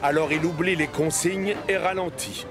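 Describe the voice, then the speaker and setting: A man's voice: fairly high pitch, steady tone, normal speed, over music. A man narrating a children's train story in French from a script.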